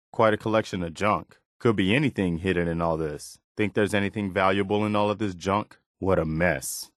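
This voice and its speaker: Earnest wasteland militia companion for a video game, lowish voice, dry close recording. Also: male voice, no echo. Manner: earnest, measured, conversational. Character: earnest, wary militia man in a post-apocalyptic wasteland. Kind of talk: game voice acting, short spoken reactions.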